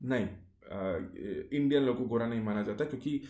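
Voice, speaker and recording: Man, casual explainer, short clauses, rhetorical questions, mid-pitch voice, echoey room. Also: moderate pace, mellow. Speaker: YouTube explainer.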